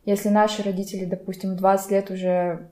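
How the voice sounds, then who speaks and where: A woman's voice: mid-range voice, level tone, quick pace, darkish tone. A young adult woman chatting casually as a podcast co-host, sometimes mixing English words into her speech. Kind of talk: casual conversation. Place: room in a home. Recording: roomy home recording.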